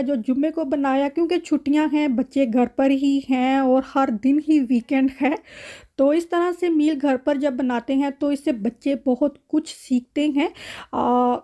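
Woman, Pakistani accent, high-pitched, mellow tone, home cooking vlogger, conversational recipe narration. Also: steady tone, moderate pace. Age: adult mother.

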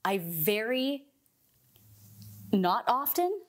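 A young Canadian woman, a community theatre actor, talking thoughtfully as an interview guest with frequent pauses and restarts. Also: fairly high pitch, sing-song. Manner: thoughtful, hesitant, many restarts and ums. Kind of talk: casual interview chat. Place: living room set with couch.

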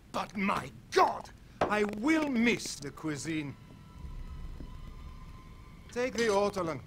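A man's voice: a little high, noisy background, heavily acted. An actor playing a middle-aged Nazi officer in a war game, German-accented, mock-courteous and menacingly polite as he savors his words. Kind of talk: cinematic war game acting.

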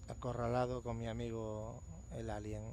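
A man's voice: mid-range voice, level tone, moderate pace, gravelly, dark tone. A man with a Castilian Spanish accent doing casual gameplay commentary, sweary and muttering to himself. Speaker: gamer, let's play host. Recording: noisy game sound behind voice. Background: horror game ambience.

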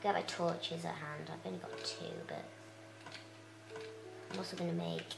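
Croaky, low-voiced young gamer. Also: female voice, fairly even intonation, moderate pace, echoey room, over loud music. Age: child. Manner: chatty, rambling, many fillers. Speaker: let's play host. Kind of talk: casual game commentary.

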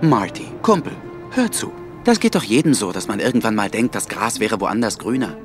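An actor playing a conceited, dramatic lion in an animated film radio play, theatrical and exclamatory. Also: male voice, fairly low pitch, normal speed, music in the background. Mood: persuasive. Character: conceited, dramatic male lion, zoo star.

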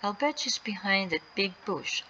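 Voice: medium pitch, moderate pace, creaky, bright, forceful tone, muffled recording, a little hiss or hum, voice pushed up. A storyteller voicing an eager, urgent young boy in a children's story. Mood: playful.

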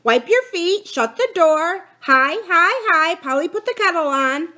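A screeching parrot from a children's storybook, acted out by a woman reader. The bird is high-pitched and excitable. It yells scolding phrases loudly and bossily. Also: measured pace, exaggerated voice. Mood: excited, bossy.

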